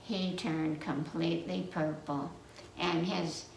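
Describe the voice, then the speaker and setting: A woman telling a children's story from memory in a halting, meandering way, pausing to put questions to her listeners. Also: deep voice, steady tone, creaky. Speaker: library storyteller. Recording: roomy, distant mic with faint noise.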